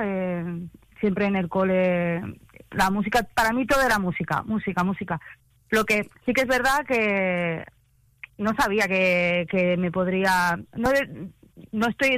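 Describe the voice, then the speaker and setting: A woman's voice: medium pitch, a bit bright, no room sound, poor audio. A woman from Catalonia with a Spanish accent, a singer chatting hesitantly in a casual radio interview and checking she is understood. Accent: Spanish from Spain. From Catalonia, Spain. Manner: hesitant, chatty, seeks agreement.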